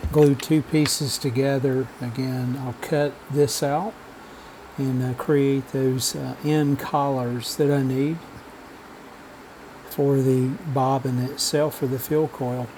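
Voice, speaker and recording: Man, hobby repair narration, dark mellow tone, middle pitch, matter-of-fact. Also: level tone, slight background noise, low-quality recording. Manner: unhurried, many "uh" pauses, matter-of-fact. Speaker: radio restoration hobbyist. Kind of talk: relaxed how-to voice-over narration.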